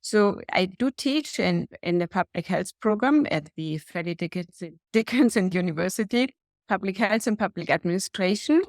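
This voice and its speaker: A middle-aged woman from Germany with a German accent, a healthcare executive and lecturer answering interview questions in a measured, earnest way. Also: mid-range voice, lively intonation, a little mellow, no echo, clean studio sound.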